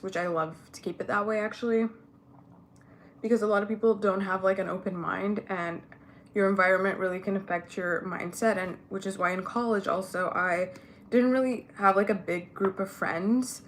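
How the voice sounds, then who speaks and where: A woman's voice: mid-range voice, fairly even intonation, normal speed, a little hiss or hum. A young woman from Ethiopia whose first language is not English, chatting candidly to camera while getting ready, with many fillers. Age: young adult. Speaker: vlogger. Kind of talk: casual get-ready-with-me chat.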